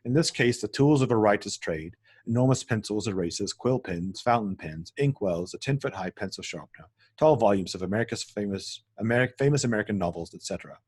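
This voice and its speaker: A man serves as narrator for a screenplay table read, delivering scene headings and action lines. His voice sits fairly low and has a noticeably husky, rough edge. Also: expressive, a little fast, few pauses, darkish tone. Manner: stumbles and corrects himself while reading. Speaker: narrator reading stage directions.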